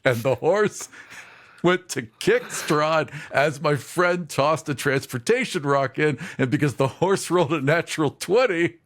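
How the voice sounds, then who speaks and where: A man co-hosting a podcast, reading listener questions and telling a lively game story with laughs. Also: on the high side, a little slow. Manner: animated storyteller, laughs easily. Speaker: podcast co-host.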